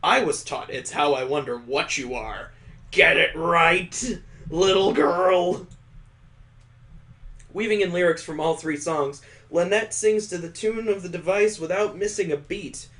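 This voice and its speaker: A man's voice: on the high side, a little slow, fairly bright tone. A man reading a visual novel aloud as a let's play host, mixing story narration with sarcastic, joking commentary. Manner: sarcastic, wisecracking.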